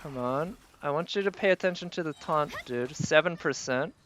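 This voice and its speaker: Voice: mid-range voice, normal speed, a bit bright. Casual game streamer muttering through play. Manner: talking to self while playing, mild swearing. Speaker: game livestreamer. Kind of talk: casual live gameplay commentary.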